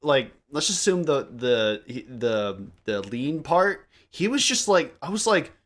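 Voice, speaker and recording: A man's voice: medium pitch, very animated, fairly bright tone. Young man rambling through a casual story. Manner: halting, rambling, repeats phrases. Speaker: podcast member. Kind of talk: casual group chat storytelling.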